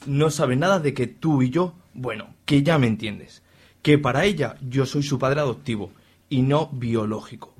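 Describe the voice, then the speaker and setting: Male actor as middle-aged father, husky, angry, threatening, accent from Spain, radio drama. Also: medium pitch, normal speed, natural voice. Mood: stern, threatening. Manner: stern, threatening. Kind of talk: amateur radio soap acting.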